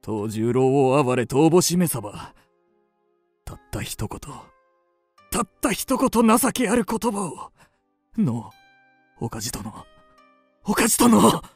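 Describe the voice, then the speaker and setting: An aging kabuki star, ardent and scheming, in a period radio play. He draws out his theatrical, archaic lines slowly with a creaky grain. The voice is recorded dry and close. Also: fairly high pitch, very animated, no echo, soft background music, heavily acted. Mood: pleading, burning. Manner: theatrical, archaic stage Japanese phrasing. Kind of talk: period radio drama acting.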